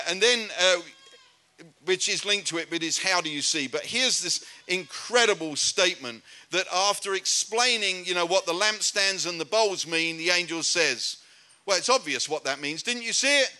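Bright-voiced British man preaching. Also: fairly high pitch. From Britain. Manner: conversational, restarts often, rhetorical repeated questions. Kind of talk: sermon.

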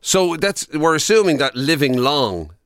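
Irish male radio co-host, bright forceful voice with very lively intonation, wry and mock-exasperated in casual banter. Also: fairly high pitch, unhurried, no room sound, clean studio sound. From Ireland.

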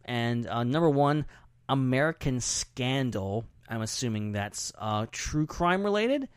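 A man's voice: medium pitch, animated, a little slow, smooth, dry recording, studio quality. A man hosting a podcast in casual chat, talking in an informal, jokey, rambling way. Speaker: podcast host.

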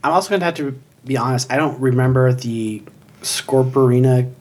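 A man's voice: medium pitch, moderate pace, darkish tone. A man co-hosting a casual podcast, dropping wry, laughing interjections. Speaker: podcast co-host. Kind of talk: casual chat.

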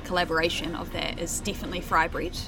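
A woman's voice: on the low side, little pitch movement, fast, noisy background. A woman with a New Zealand accent, a guest in a casual interview, friendly and light, with plenty of ums. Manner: friendly, laughing, many ums. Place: outdoor cafe.

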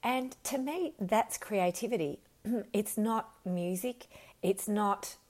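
A woman with a slightly croaky voice, talking earnestly and reflectively as a podcast host and coach, with frequent ums and self-corrections. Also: medium pitch, slow pace, darkish tone. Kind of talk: unscripted solo podcast talk.

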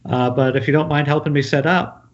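A man reading the part of a chummy, unsure film director, halting as he goes, in an amateur table read over an internet call. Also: on the high side, fairly even intonation, natural voice. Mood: halting, friendly. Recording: muffled, faintly noisy internet call audio.

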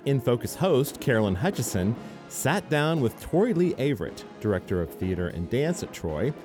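A man hosting a public radio program and chatting as a guest, wry and self-deprecating. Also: fairly low pitch. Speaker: radio host and reporter. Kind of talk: radio broadcast intro and interview.

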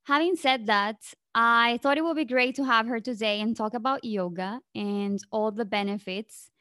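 Gentle higher-voiced woman podcast host. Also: moderate pace, no room sound, clean studio sound. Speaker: podcast host and health coach. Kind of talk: podcast intro and interview.

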